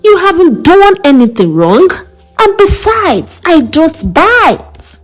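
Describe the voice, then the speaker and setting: A warm mother from a folktale, put on by a woman narrator. She is reassuring and frank, coaxing in a high, very animated voice. She speaks slowly over faint music. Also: full character voice. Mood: affectionate, coaxing. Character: warm, reassuring, direct middle-aged Nigerian mother.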